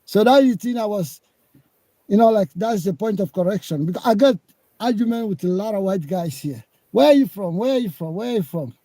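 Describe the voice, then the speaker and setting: A man's voice: high voice, animated, brisk, warm tone, no room sound. A man from Leone, calling into a live discussion and arguing his point, repeating himself. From Sierra Leone. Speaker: caller.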